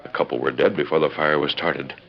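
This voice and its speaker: An actor playing a calm, earnest, commanding male western hero in a 1940s radio drama, speaking in a measured, well-spoken way. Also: extremely low pitch, vocal fry. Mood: pondering, serious. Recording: muffled vintage 1940s radio recording.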